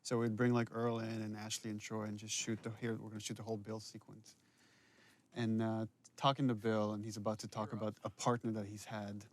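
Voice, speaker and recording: A man's voice: fairly low pitch, level tone, a little fast. A man speaking as writer and director in a casual, explanatory commentary.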